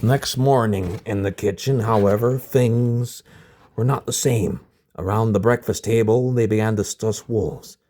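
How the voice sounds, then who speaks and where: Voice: medium pitch, measured pace, mellow. A storybook narrator reading aloud informally, now and then stumbling over a word and rereading it. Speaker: storybook reader.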